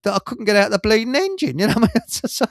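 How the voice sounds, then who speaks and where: An older man talks in a very animated, swooping voice, a little high for a man. He rambles jovially like a casual radio host, chuckling along, and the sound is close and dry. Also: very fast, creaky, no room sound. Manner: jovial, chuckles through his stories. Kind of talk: casual chat and rambling anecdotes.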